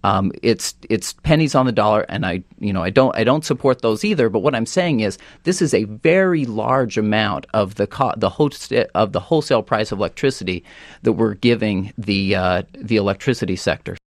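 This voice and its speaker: An American man speaking as a policy guest on a radio talk show, often halting and rephrasing as he goes. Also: on the low side, animated, no echo, studio quality. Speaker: energy policy director, panel guest.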